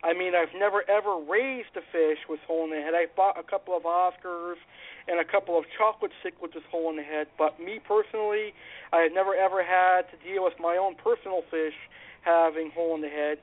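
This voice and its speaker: A high-voiced man with a cold hosts a call-in fish radio show. He talks loosely and circles back to the same phrases. The sound is thin and phone-like. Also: fairly even intonation, a little fast. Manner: informal, repeats phrases, fillers. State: has a cold. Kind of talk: casual call-in radio talk. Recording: phone-like narrow band.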